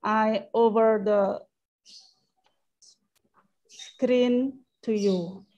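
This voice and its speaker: A woman's voice: a little high, fairly even intonation, extremely slow, a little mellow, highs cut off. A woman church leader from Indonesia, reading a formal statement on a video call, with hesitant fillers when she speaks off script. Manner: reads prepared statement, filler-heavy when improvising. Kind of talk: formal conference presentation.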